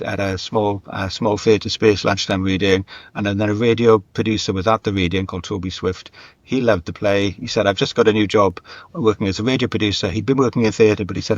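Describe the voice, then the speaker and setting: A man's voice: fairly low pitch, no echo. A mature man telling anecdotes conversationally as an interview guest, acting out bits of dialogue and repeating words for emphasis, on slightly muffled audio. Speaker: writer and script editor interviewed as guest.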